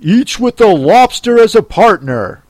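A woman voicing a brash, bossy male gryphon from a storybook, loud and emphatic. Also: fairly low pitch, very animated, slow pace, no echo, pitched above the performer's own voice, exaggerated voice. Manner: shouty, emphatic.

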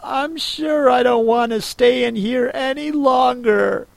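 A man's voice: very high voice, slow pace, creaky, no room sound, pitched above the performer's own voice, full character voice. A man playing a prim little girl. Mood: wondering. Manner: prim, scolding herself. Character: young girl, curious and fussy.

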